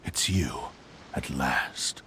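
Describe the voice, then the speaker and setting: An actor playing a brooding, menacing swordsman villain in a video game, with a breathy, ominous, whispery delivery. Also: rumbling low, sharp tone, heavily acted. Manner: slow, ominous. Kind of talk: dramatic video game voice acting.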